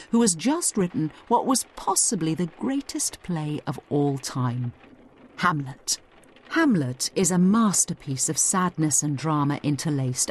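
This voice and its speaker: A woman's voice: sits low, a little mellow, slightly rough. A woman narrating a scripted educational audio guide, explaining things conversationally as she addresses the listener directly.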